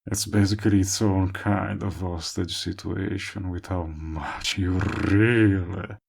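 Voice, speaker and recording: A man's voice: low voice, fairly even intonation, darkish tone, exaggerated voice. A man playing a smug, possessive, lovestruck villain in a romantic comedy audio roleplay, talking to the listener in a sardonic, persuasive way. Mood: smug, teasing. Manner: smooth-talking, sardonic, drawn-out emphatic phrasing. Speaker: voice actor.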